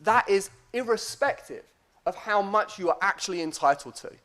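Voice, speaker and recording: Higher-voiced man giving a lecture. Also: lively intonation, bright, forceful tone. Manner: self-deprecating, hesitant, deliberate. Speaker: academic guest speaker. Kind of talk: conference lecture.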